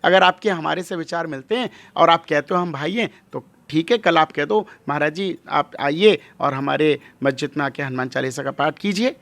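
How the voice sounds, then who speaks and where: High-pitched, emphatic male religious leader. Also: moderate pace, few pauses, a bit bright, dry recording. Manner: emphatic, rhetorical questions and repetition. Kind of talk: impassioned interview monologue.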